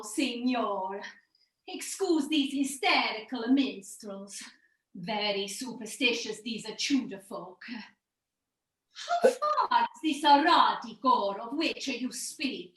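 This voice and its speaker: A woman playing a calm, courteous gondolier in a pantomime, with a put-on Italian accent. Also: a little high, takes it slowly. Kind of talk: theatrical pantomime acting. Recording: very echoey, distant video-call audio.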